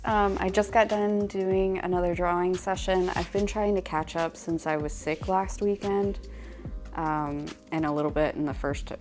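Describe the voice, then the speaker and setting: A young woman artist chatting casually in a vlog, a little nasally from a cold, with frequent ums and likes. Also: sits low. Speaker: artist vlogger.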